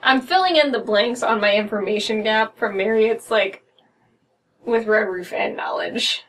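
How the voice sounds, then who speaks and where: A woman's voice: fairly high pitch, a little slow, a bit bright. An American woman in her thirties chats casually as a podcast co-host, with wry, sweary commentary. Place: small room at home, indoors. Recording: distant mic, noisy room.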